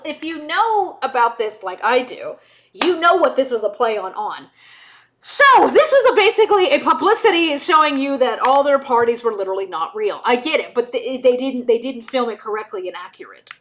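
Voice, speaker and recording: Chatty woman, animated delivery. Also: high voice, normal speed, a little hiss or hum. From Southern United States. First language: Hebrew. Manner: rambling, chatty, lots of restarts and asides. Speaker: livestream host. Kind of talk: casual livestream chat.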